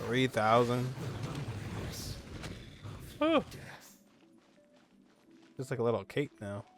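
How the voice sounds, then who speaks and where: A man's voice: mid-range voice, huge pitch swings, slow, a little hiss or hum. A man giving informal, reactive gameplay commentary, half talking to himself with frequent exclamations. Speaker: gaming streamer.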